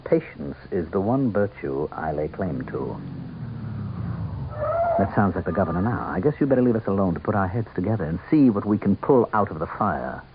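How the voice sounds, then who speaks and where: A sardonic, swooping political schemer on old radio. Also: male voice, sits low, animated. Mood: quietly menacing. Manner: smug, needling, theatrically deliberate. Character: sardonic, conniving male campaign manager, secretly the Devil. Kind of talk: radio drama acting. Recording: muffled, noisy old radio broadcast.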